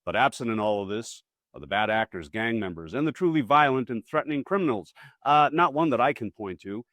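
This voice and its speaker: A man's voice: mid-range voice, lively intonation, a little fast, a bit bright, no echo, studio quality. A man hosting a scripted political commentary, with punchy, colloquial, wry asides. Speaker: host.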